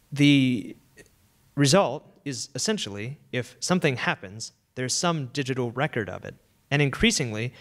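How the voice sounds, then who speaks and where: A young man seated on an auditorium stage, speaking into a handheld microphone in a fluent, measured conference keynote. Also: mid-range voice, a bit bright. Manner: fluent, measured, gestures while talking.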